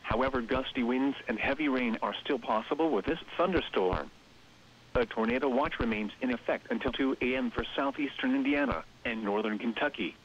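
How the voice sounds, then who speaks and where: A synthetic man's voice reading a scripted emergency weather bulletin in a measured, impersonal way over weather radio. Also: mid-range voice. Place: automated radio broadcast. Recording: muffled, lo-fi weather radio broadcast.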